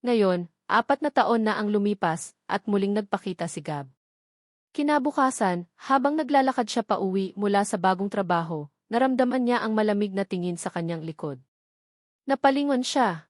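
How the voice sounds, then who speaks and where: A woman narrates a scripted love story as a dramatic storyteller. Her delivery is emotive and theatrical, pitched in a middle female range. The sound is dry, with hardly any room on it. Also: lively intonation, normal speed, dry recording.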